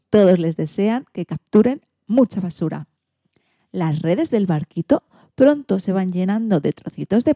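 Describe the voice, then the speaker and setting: A woman reading a children's storybook in an expressive, theatrical way. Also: medium pitch, no echo, studio quality. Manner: theatrical, acts out sound effects and exclamations.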